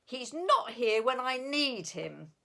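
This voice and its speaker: A woman's voice: a little high, sing-song, slow, creaky, pushed and bright, pitched above the performer's own voice, exaggerated voice. A woman voicing a young female fox cub, clingy and sulky, with a plaintive, squealing manner in a storybook. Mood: earnest, hurt.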